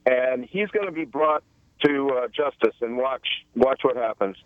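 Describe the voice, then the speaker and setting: A man's voice: medium pitch, expressive, normal speed, dry recording, phone-quality sound. A male caller to a radio show who rambles and stumbles over his words while speaking scornfully. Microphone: phone line.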